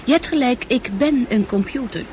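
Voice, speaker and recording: Woman acting as super smart ship computer lady, measured and humanlike, old radio play, muffled with faint noise. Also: fairly high pitch, lively intonation, darkish tone, lightly acted. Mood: calm, analytical. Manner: measured, articulate, explanatory. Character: highly intelligent female spaceship computer, calm, teasing, humanlike. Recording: dull noisy old radio play recording.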